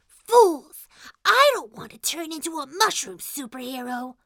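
Woman voicing a squeaky, very high little mushroom boy. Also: big pitch swings, very slow, pushed and bright, no room sound, studio quality, full character voice. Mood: gleeful, taunting. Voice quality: squeaky, childlike. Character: small mischievous childish boy mushroom, giggly and taunting. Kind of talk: children's audio story character acting.

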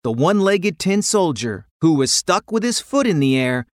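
A woman narrating a scripted children's story in an expressive, dramatic way. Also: on the low side, moderate pace, no room sound, studio quality.

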